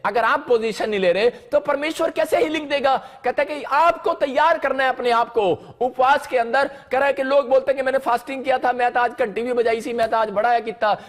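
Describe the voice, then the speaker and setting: Male pastor, extremely high voice, emphatic and repetitive sermon exhortation. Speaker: pastor preaching.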